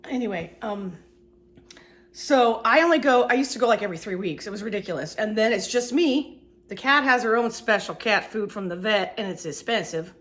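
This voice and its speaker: A woman's voice: mid-range voice, expressive, normal speed, a bit bright. A middle-aged woman with a stuffy cold, rambling casually and poking fun at herself in a home kitchen vlog. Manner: chatty, rambling, self-deprecating. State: congested with a cold. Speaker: vlogger. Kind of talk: casual chatty cleaning vlog.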